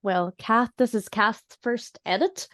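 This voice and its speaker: A woman's voice: a little high, slow pace, a bit bright, no echo, studio quality. A woman chatting casually and enthusiastically in a colloquial way, as a creator on a panel. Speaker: audio drama creator on a panel. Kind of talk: casual chat.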